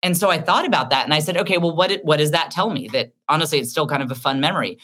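A middle-aged woman with a deep voice talks as an interview guest. She tells frank, animated stories about herself, and her tone is bright and forceful. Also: quick pace. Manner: candid, animated storytelling. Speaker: author and comedian guest. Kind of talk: casual interview talk.

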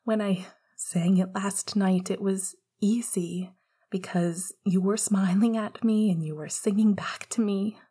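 A woman voicing an emotional young man who speaks tenderly through held-back tears in a soft whisper. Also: medium pitch, steady tone, slow, husky. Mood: tender, choked up.

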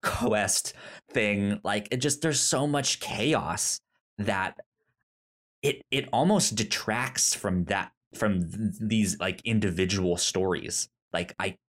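A man co-hosting a casual TV review podcast, speaking haltingly and often restarting his words. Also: lowish voice, fairly bright tone. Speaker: podcast co-host.

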